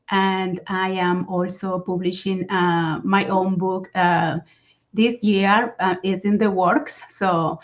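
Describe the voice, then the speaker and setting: A Colombian woman with a Spanish accent talks about her life as an interview guest, slowly and earnestly, with many "um"s and restarts. Her words come through a dull, muffled video call line. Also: mid-range voice. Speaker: guest, mindfulness coach. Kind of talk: personal story in a casual interview. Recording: dull lo-fi sound.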